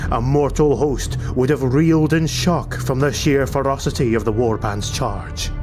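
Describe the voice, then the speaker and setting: A man narrating a fantasy audiobook in a measured, theatrical, dramatic style. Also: medium pitch. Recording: music behind the voice. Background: music.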